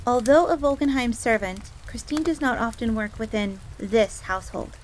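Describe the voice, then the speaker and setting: Casting: prim tsundere fox maid, played by a woman, higher-pitched, formal, jealous and devoted servant, audio roleplay with rain behind. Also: moderate pace, natural voice. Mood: prim, haughty. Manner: formal, stiff, speaks of herself in third person. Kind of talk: scripted audio roleplay acting. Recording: steady rain noise in background. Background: rain ambience.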